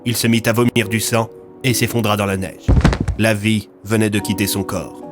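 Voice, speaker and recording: A man's voice: lowish voice, little pitch movement, moderate pace. A man narrating a scripted audio fiction story in a dramatic storytelling style. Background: music.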